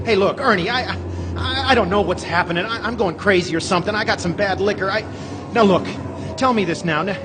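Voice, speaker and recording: A man's voice: high-pitched, fairly quick, close to an everyday voice. An actor playing a desperate, pleading married man who stammers, in old-time radio drama style on a live theater stage. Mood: agitated, confused. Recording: echoey theater stage, noisy live recording.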